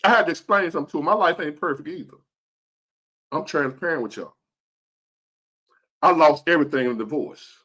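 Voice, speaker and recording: A man in his fifties giving earnest, preachy motivational talk to his viewers, repeating key phrases and swinging between loud and hushed. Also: a little high, fairly quick, pauses often. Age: 50s. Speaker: motivational vlogger.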